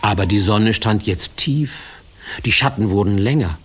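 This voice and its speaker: A man narrating a fairy-tale radio play as an expressive, folksy storyteller. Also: on the low side, moderate pace, husky.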